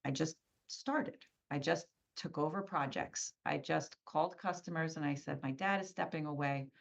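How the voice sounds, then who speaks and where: A woman whose first language is Polish speaks in a somewhat low voice, earnest and emphatic, and she often repeats herself for weight. The sound is a little dull and lo-fi, like a remote interview recording. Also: normal speed, gravelly. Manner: earnest, tag questions "right?", emphatic repetition. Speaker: guest, scaffolding business owner. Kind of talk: conversational interview.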